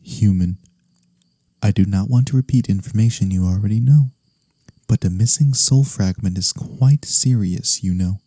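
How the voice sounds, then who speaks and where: A man acts out a courteous incubus demon in an ASMR fantasy roleplay. The voice is low, very mellow and close, almost without room sound. He speaks slowly and formally, with a gentle teasing edge. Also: dry recording, natural voice. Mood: calm, grave.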